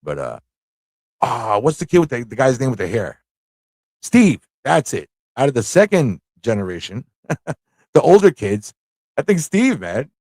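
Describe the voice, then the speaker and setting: A man's voice: mid-range voice, big pitch swings, a little slow, pauses often, a little mellow, no echo, clean studio sound. A man hosting a livestream fan chat, talking in a rambling, informal way with frequent rhetorical tag questions. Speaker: livestream talk show host.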